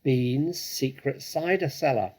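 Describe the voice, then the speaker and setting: Man doing a sly dad fox from a kids book, theatrical n bossy, slow talker, bit husky. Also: medium pitch, darkish tone, pitched above the performer's own voice. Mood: pleased, commanding. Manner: theatrical, smooth-talking, commanding. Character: sly, confident adult male fox, fatherly leader.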